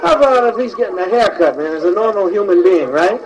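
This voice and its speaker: A man's voice: high-pitched, animated, fast talker, a crowd in the background. A man doing a casual, conversational stand-up comedy routine on a noisy old recording. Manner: casual, conversational, acts out bits.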